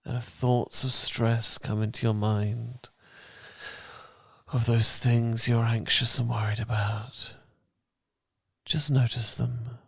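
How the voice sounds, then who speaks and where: A British man speaking as a loving boyfriend in a soft, husky, often whispered ASMR style, gentle, tender and unhurried, close to the microphone. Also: mid-range voice, little pitch movement, no room sound. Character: loving, soothing boyfriend comforting his partner. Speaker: ASMR audio performer. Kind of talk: ASMR, slow romantic guided relaxation. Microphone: close microphone, dry.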